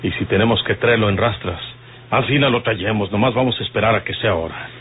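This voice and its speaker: An actor playing a determined, rustic outlaw leader in a radio drama, with a rural northern Mexican accent and a firm, colloquial way of talking. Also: male voice, fairly low pitch, quick pace, lightly acted. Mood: firm, resolute. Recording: lo-fi hissy old radio recording.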